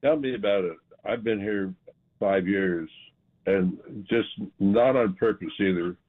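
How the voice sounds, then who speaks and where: The raspy, folksy older American man who rings the call-in show on a tinny phone line, stopping and starting as he chats. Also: lowish voice, a little slow. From California, USA. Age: 60s or older. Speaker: radio show caller. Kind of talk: casual chat.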